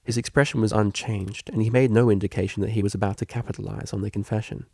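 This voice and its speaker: Man narrating a story, creaky close voice. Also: lowish voice, fairly even intonation, fast, a little mellow, no echo. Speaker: audiobook reader. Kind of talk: scripted fan fiction narration.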